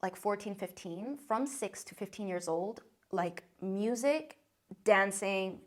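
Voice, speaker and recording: A woman's voice: mid-range voice, animated, slow pace. Upbeat young American-accented woman. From China. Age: 20s. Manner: chatty and upbeat, frequent "like" and "um" fillers. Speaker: content creator. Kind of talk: casual vlog talk to camera.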